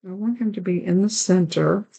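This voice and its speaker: A woman with a raspy, hoarse voice hosting a card-making tutorial, chatting along as she works through each step. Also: on the low side, moderate pace, warm tone. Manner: chatty, talks while working with her hands. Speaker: card-making channel host.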